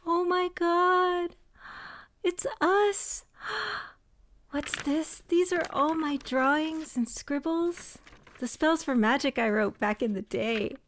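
An actress voicing a quiet, stubborn young woman who dabbles in magic, in an audio drama, speaking plainly and earnestly. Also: high voice, takes it slowly. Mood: amazed. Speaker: shop heir and cousin.

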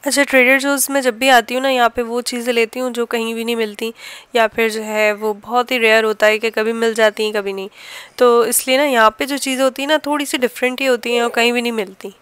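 A woman with a Pakistani accent narrates a household vlog in a chatty, explanatory style. Her voice sits fairly high and is very smooth and clear. The sound is dry, with little room in it. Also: level tone, fast talker, a bit bright, no echo. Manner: chatty, explanatory, homely everyday talk. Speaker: home cooking and family vlogger. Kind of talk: casual vlog voice-over narration.

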